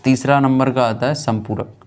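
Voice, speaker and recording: A male teacher explains a lesson as he writes. His voice sits at a middle pitch. He sets up questions, answers them himself and repeats key terms, often checking in after a point. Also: fairly even intonation, moderate pace, clean tone. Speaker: physics teacher. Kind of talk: classroom lesson, explaining while writing on a board.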